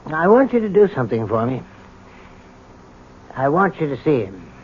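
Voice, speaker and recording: Earnest prison doctor, muffled recording. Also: male voice, fairly high pitch, big pitch swings. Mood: gently insistent. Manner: hesitant, deliberate, reasoning. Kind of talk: 1970s radio drama acting. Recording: muffled, faintly noisy old radio recording.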